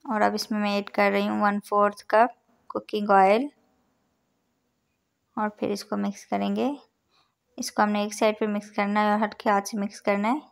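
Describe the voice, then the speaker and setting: A woman with an Urdu-influenced accent narrating a recipe step by step as a cooking channel host, calm and instructive as she counts out each measure. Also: mid-range voice, normal speed, vocal fry, long pauses. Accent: Urdu-influenced Hindustani. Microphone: voice-over over overhead cooking shots.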